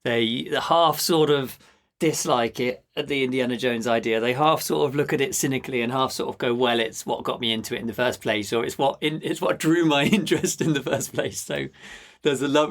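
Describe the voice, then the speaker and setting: A man's voice: mid-range voice, fairly quick, vocal fry. A man hosting a podcast, speaking in an enthusiastic, encouraging manner. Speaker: podcast host. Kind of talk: interviewing and scripted sign-off.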